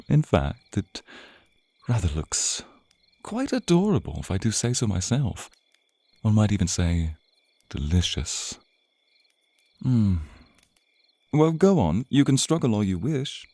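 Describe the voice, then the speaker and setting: A man playing a suave, menacing, teasing vampire captor in an intimate ASMR roleplay, with theatrical, purring, drawn-out phrasing. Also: fairly low pitch, very animated, mellow, no room sound, full character voice. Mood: seductive, predatory. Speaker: roleplay voice performer.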